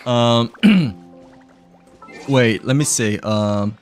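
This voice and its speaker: A man's voice: mid-range voice, very slow, soft background music. A man livestreaming a game, chatting in a rambling way full of fillers and giggles, his throat a little dry and tired. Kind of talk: casual game stream chat.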